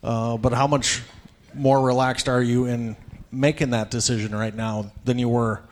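A man hosting a live radio broadcast from a banquet event, emceeing and asking players questions in a brisk, chatty, joking way. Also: mid-range voice, little pitch movement. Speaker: radio play-by-play host.

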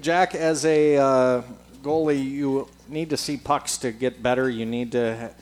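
A man's voice: mid-range voice, steady tone, unhurried, darkish tone. A man hosting and interviewing on stage at a live event. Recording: live event, noisy room.

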